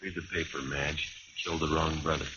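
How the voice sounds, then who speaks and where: A man acting a weary, terse jazz bandleader in clipped, deadpan 1950s radio noir style. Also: very deep voice, brisk, heavy background noise. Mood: grim, firm. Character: weary, hard-boiled jazz bandleader, terse and world-worn. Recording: muffled vintage radio recording, music behind.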